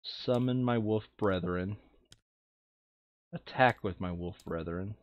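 A man's voice: fairly low pitch, slow, no room sound. A man playing a board game, chatting casually with another player. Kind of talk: casual gaming chat. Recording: dull, lo-fi voice chat microphone.

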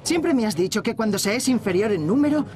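A man's voice: high voice, expressive, fairly quick, background noise. An actor playing an eager, clever young man in a dubbed cartoon, speaking earnestly. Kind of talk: Spanish cartoon dub. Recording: cartoon with music behind.

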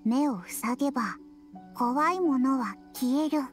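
A woman's voice: sits high, expressive, soft background music. A woman voicing a sleepy, secretive little ninja girl in an anime game, drawling drowsily. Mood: drowsy, secretive. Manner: drowsy, drawn-out.

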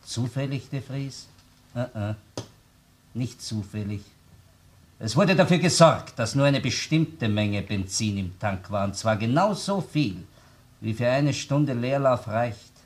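A man's voice: medium pitch, expressive, unhurried. A man playing a sardonic, relentless police interrogator in a radio crime drama, with a Viennese accent and taunting, repetitive questions. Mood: quietly triumphant. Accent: Austrian, Viennese. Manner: taunting rhetorical questions, repeats words, tag questions. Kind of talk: German-language radio crime drama acting.

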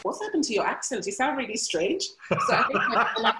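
A Nigerian woman in her fifties, answering interview questions over a video call in a relaxed way. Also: a little high, normal speed, noisy background. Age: 50s. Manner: relaxed, laughs easily. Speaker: guest. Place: indoor room at home. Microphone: video call webcam.

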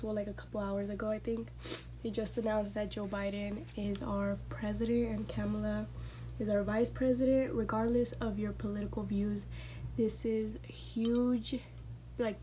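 A woman's voice: mid-range voice, mellow, slight background noise. A young woman vlogging casually to a phone camera from her car, stuffy-nosed, chatting with lots of fillers. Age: young adult. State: stuffy nose. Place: inside a parked car. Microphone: phone camera on dashboard.

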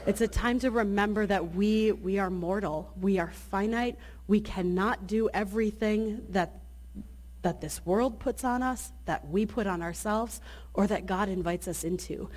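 A woman's voice: medium pitch, a little slow, darkish tone. A woman in her thirties, a pastor giving friendly, inviting announcements and a blessing at a lectern mic in a church sanctuary. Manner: friendly, inviting, with many restarts. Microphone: lectern microphone.

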